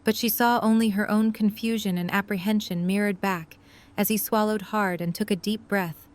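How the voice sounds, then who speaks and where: A woman narrates an audio drama from a script. Her voice sits at a medium pitch as she tells the story. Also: fairly even intonation, moderate pace.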